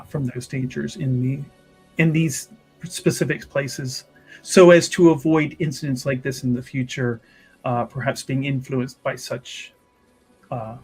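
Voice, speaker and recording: A man voicing a solemn, devout religious sister in a fantasy tabletop game, speaking gravely and formally. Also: medium pitch, darkish tone, low-quality recording. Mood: measured, formal. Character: solemn, devout chantry sister leading others in prayer. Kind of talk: in-character tabletop roleplay.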